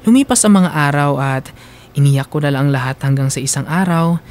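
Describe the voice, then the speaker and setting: A man narrating a radio drama in the first person, emotive and halting for dramatic effect. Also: on the high side, a little mellow, a little hiss or hum.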